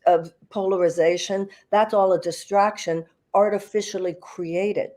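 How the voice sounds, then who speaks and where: A woman's voice: mid-range voice, steady tone, a little slow, darkish tone. An older woman from the United States, speaking earnestly and reflectively as a guest on an online panel, with many fillers and restarts. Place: video call.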